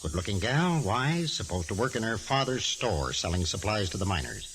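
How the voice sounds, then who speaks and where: An actor playing a suave, pompous, flirtatious film director in a 1940s radio drama, with an effusive, theatrical way of talking. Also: male voice, fairly low pitch, big pitch swings, moderate pace, a bit bright. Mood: flirtatious, scheming. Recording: hissy, noisy 1949 radio recording.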